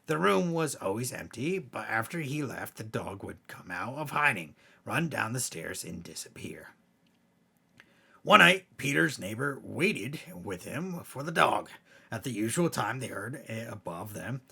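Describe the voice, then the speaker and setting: A man narrating scary bedtime stories as a host, in a theatrical way with emphatic swells and hushed drops. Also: medium pitch, creaky, husky. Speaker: storyteller, host.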